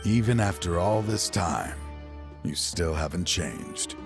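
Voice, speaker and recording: A middle-aged game character, a proud and grief-worn father facing an old partner. His voice is low and brooding, with theatrical, deliberate phrasing over faint music. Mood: sorrowful, reflective. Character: grieving middle-aged father, proud, weary former partner turned adversary. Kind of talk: dramatic video game voice acting. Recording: light music behind the voice.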